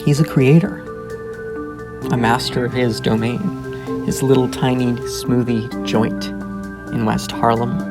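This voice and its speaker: Gravelly-voiced man narrating a food documentary. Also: mid-range voice, steady tone, measured pace, long pauses. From American South. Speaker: host and narrator. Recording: narration under loud music.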